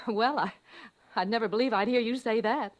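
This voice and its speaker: A woman playing an anxious, gentle mother who hesitates as she hides her unease, in an old-time radio drama. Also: on the high side, brisk, dry recording, lightly acted. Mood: uneasy, probing. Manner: hesitant, searching, trailing off.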